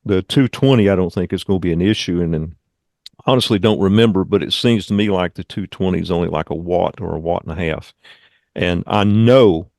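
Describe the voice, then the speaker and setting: Man, deep-ish voice, informal meeting host, rambling and conversational, dry video-call sound. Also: a little fast, creaky, a little mellow, no echo. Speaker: radio club meeting leader and presenter. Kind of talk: informal club meeting announcements.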